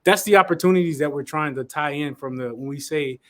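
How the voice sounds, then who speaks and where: A man's voice: fairly high pitch, brisk, a bit bright. A man co-hosting a talk show, chatting casually in laid-back, slangy phrases. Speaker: co-host. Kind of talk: casual chat.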